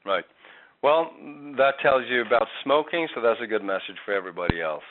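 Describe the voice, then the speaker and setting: A man's voice: fairly low pitch, animated, fairly quick. A man giving a one-on-one singing lesson, explaining step by step in a patient way. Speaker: voice coach. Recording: tinny call line, faint hiss.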